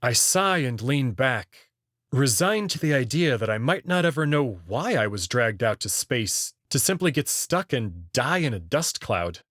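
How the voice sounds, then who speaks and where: A man's voice: medium pitch, big pitch swings, measured pace, fairly bright tone, dry recording, studio quality. A man narrating a visual novel in the first person, wry and curious in tone.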